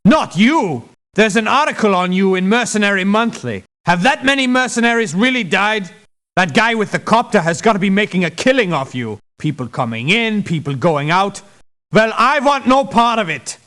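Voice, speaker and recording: An actor voicing an exasperated, dismissive, sarcastic mercenary in a video game. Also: male voice, high-pitched, big pitch swings, normal speed, fairly bright tone. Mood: mocking. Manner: sarcastic, scornful. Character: exasperated, dismissive, sharp-tongued male mercenary. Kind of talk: video game character voice lines.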